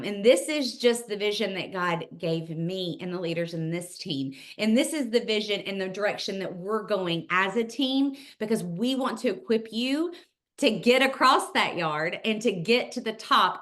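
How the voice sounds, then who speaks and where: A woman hosting a team training, speaking in an energetic, earnest way as she tells a lesson and urges her team on. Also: mid-range voice. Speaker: team leader, host.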